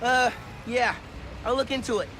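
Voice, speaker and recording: A young actor playing a young male superhero who talks in a lively, casual and enthusiastic way. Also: very high-pitched, moderate pace, noisy background. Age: young adult. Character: young male teen superhero, upbeat and techy.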